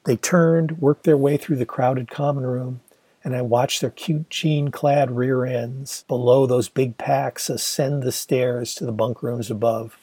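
A man's voice: mid-range voice, steady tone, measured pace, warm tone, husky, no room sound. A man in his sixties from Michigan, reading his own memoir aloud in a wry, conversational storytelling manner. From Michigan, USA. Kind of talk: audiobook narration.

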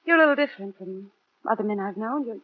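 An actress playing a careful, gentle young mother in a 1940s radio drama. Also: medium pitch, expressive, fast, breathy edge. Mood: hesitant, earnest. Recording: dull vintage 1940s radio recording.

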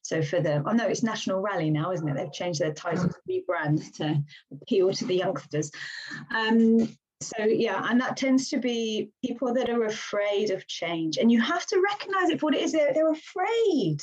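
A British woman, a village councillor, talking in an animated, earnest way as a guest in a casual podcast conversation. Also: medium pitch, normal speed, slightly rough. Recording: slightly dull lo-fi sound.

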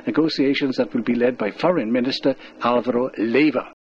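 A man's voice: mid-range voice, brisk, husky, highs cut off. A man reading scripted news as a radio newsreader on a shortwave broadcast.

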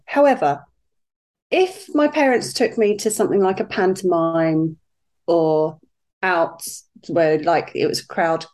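Podcast guest, British woman, lower voice, chatty and relaxed. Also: takes it slowly. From United Kingdom. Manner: chatty, easygoing, with fillers. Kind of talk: casual interview chat.

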